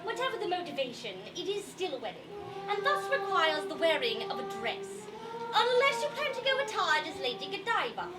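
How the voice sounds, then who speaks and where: A woman's voice: very high voice, very animated, a little fast. An actress playing a haughty, vain Victorian mother in a stage play, imperious and formal as she scolds. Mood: insistent. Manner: imperious, theatrical, formal diction. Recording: distant stage recording with loud music.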